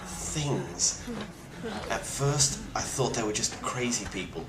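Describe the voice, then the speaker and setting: Grim, low-voiced survivor, acted by a man, echoey recording. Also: expressive, takes it slowly. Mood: grim, wary. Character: grim, weary British male plague survivor leaving video testimony. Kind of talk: in-character monologue, found-footage video diary. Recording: roomy echo with clear background noise.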